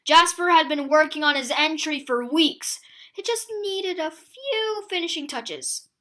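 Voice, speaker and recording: A woman reading a spooky children's picture book aloud in a theatrical, suspenseful way with deliberate pauses. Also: high voice, lively intonation, fairly bright tone. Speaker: children's storybook reader.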